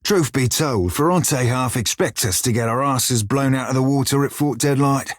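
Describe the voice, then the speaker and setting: An actor playing a gruff, wry pirate shiphunter in a video game, with a rough-edged voice and folksy sailor dialect. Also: male voice, medium pitch, normal speed, no room sound, studio quality, natural voice. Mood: wry, brash. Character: salty blue-furred pirate shiphunter, former slave, gruff and wry. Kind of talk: video game character dialogue.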